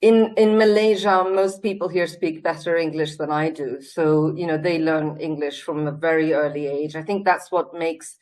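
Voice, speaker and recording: Swedish-born woman, earnest and low-pitched. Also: fairly even intonation, normal speed, few pauses, a little mellow. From Sweden. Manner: earnest, reflective, many fillers and restarts. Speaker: interviewee, company CEO. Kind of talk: casual interview on a video call. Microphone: video call.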